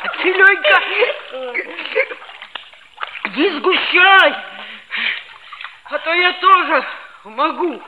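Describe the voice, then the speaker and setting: Earnest, high-voiced schoolboy, noisy old recording. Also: female voice, animated, slow, highs cut off, heavily acted. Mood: reproachful. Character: schoolboy narrator, about thirteen, earnest and hurt. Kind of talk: 1960s Soviet radio play acting and narration.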